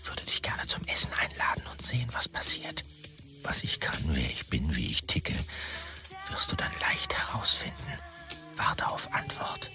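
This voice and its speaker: An actor playing a self-important, pushy and sleazy young dot-com manager in a radio drama, with officious, jargon-heavy delivery. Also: male voice, on the high side, huge pitch swings, normal speed, in a whisper, over music. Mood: seedy, hushed. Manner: officious business jargon, heavy English buzzwords. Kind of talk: radio drama, characters reading out e-mails.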